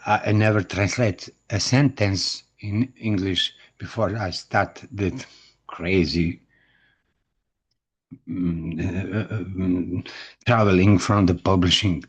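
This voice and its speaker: Slow, husky-voiced Croatian-accented man. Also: deep voice, fairly even intonation, dull audio. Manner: halting, broken English with many restarts and repeated words. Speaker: indie author and book vlogger. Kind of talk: nervous personal talk to camera.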